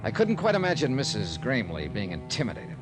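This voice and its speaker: An actor playing a tough, sardonic, relentless investigator in a 1950s radio drama, with hard-boiled, slangy delivery. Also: male voice, mid-range voice, huge pitch swings, brisk, over music. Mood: wry, narrating. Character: tough, wisecracking, relentless male insurance investigator. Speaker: lead actor and narrator. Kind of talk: 1950s radio detective acting.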